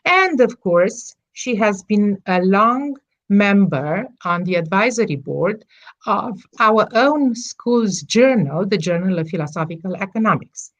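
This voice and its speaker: A woman with a mid-range voice moderates an online conference panel through a video call computer mic. She reads a speaker's introduction in a halting way, stopping to correct herself and filling gaps with "uh" and "um". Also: medium pitch, lively intonation. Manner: hesitant, self-correcting, with fillers.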